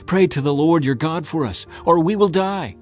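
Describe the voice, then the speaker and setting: A man voicing a frightened crowd of ancient people, pleading urgently and pitched higher than his narration. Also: expressive, normal speed, soft background music, natural voice.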